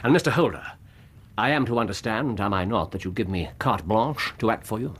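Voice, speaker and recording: A man's voice: on the low side, lively intonation, brisk, a bit bright, slightly rough. A male actor playing a self-assured Victorian detective with a clipped British accent in a radio drama. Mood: brisk, businesslike. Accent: British English. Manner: clipped, formal, authoritative.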